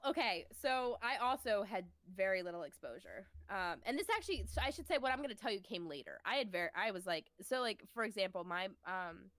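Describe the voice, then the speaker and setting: A woman's voice: mid-range voice, fairly bright tone, dry recording. A young woman co-hosting a podcast, nasal and congested from a cold, chatting casually and laughing often. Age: young adult. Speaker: podcast co-host. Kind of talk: casual chat.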